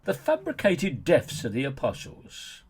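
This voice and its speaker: A man narrating a scripted polemical audiobook as its author, with sardonic, mocking emphasis and deliberate, theatrical phrasing. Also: medium pitch, huge pitch swings, darkish tone, slight background noise. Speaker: author reading own book as narrator.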